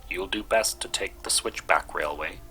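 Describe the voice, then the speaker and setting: A man voicing a lonely, courteous old gentleman from a storybook, gently plaintive and easily hurt. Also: deep voice, normal speed, faint music behind. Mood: polite, apologetic.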